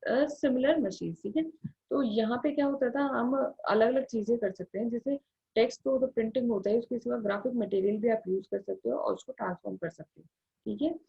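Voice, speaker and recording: A woman's voice: fairly high pitch, fairly quick, gravelly, mellow, husky. An Indian woman giving an explanatory lecture, going step by step with frequent check-in tags, in a dull lo-fi recording. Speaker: engineering lecturer. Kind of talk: explanatory classroom lecture mixing Hindi with English terms.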